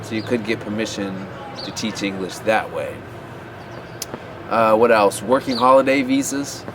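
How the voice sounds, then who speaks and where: Man, lowish voice, loose rambling advice, outdoor city recording with traffic behind. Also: steady tone, measured pace. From USA. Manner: rambling, many fillers and restarts. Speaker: English teaching advice vlogger. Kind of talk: unscripted advice talk to camera. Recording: outdoor street noise.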